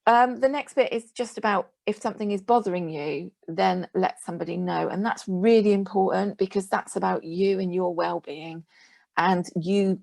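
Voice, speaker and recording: A woman's voice: mid-range voice, creaky, mellow, dry recording. A woman giving an informal, plain-spoken advisory talk in a webinar, reassuring and speaking directly to her listeners. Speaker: guest speaker, adult safeguarding professional.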